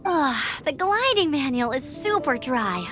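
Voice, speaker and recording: A woman's voice: high voice, big pitch swings, measured pace, a bit bright, heavily acted. A woman voicing a peppy, upbeat young woman knight who chats eagerly in a game dub. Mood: cheerful. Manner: bubbly, upbeat, chatty. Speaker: gliding examiner. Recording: game audio with music behind.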